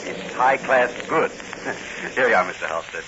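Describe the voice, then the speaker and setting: An actor playing a cool, wry young police hero in a teasing manner, in 1940s radio style on a noisy recording. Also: male voice, medium pitch, animated, unhurried, muffled recording, lightly acted. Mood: wry, probing. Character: cool, wry rookie patrolman, secretly a masked vigilante.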